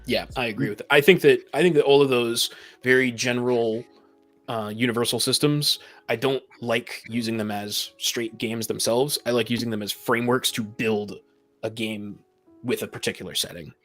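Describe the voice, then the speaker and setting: A man explaining his view in a measured, analytical way during a casual discussion. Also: mid-range voice, steady tone, a bit bright, soft background music. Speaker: discussion participant.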